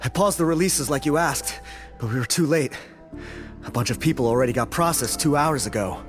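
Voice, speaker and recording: A man's voice: medium pitch, fairly quick, close to an everyday voice. An actor playing an anxious, dutiful male subordinate in a video game, reporting to a superior. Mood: urgent. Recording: game music behind the voice.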